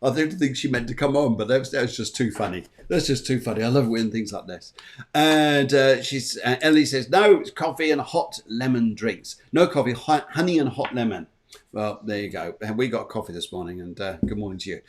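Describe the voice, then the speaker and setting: A man's voice: mid-range voice, a little fast. A man hosting a livestream morning show in a chatty, jovial way, often repeating his phrases. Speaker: show host.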